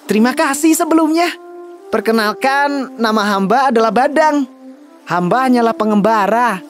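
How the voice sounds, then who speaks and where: A man's voice: very high voice, animated, moderate pace, soft background music. A man playing a humble, brave young wanderer in a children's folktale drama, speaking politely and earnestly. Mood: respectful.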